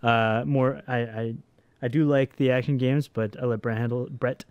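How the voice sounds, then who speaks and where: A man with a smooth, resonant voice chats casually over gameplay, close to the mic in a dry sound. He talks in an easygoing way, restarting phrases and filling pauses. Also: medium pitch, fairly even intonation, a little mellow, dry recording, highs cut off. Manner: easygoing, hesitant, many restarts and fillers, laughs often. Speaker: co-host of a gaming channel, programmer.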